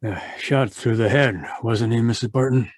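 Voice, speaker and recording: A man's voice: mid-range voice, moderate pace, no room sound, natural voice. A man acting as a polite, probing newspaper reporter in an old-time radio mystery. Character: inquisitive, polite but shrewd male newspaper reporter. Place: remote video call performance. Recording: live video-call recording, dry.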